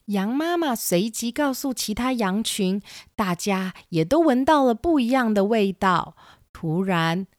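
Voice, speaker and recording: A woman's voice: medium pitch, expressive, a little slow, no room sound, studio quality. A woman narrating a scripted children's story as the storyteller. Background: classical music.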